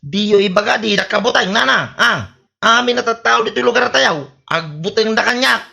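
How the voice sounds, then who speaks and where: Man acting a boastful young bully, Ilocano accent, gravelly edge, sharp forceful tone, very animated, radio comedy drama. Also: high-pitched, moderate pace, full character voice. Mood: defiant. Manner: swaggering, loud, bragging. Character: boastful bullying young man, big-bodied town braggart.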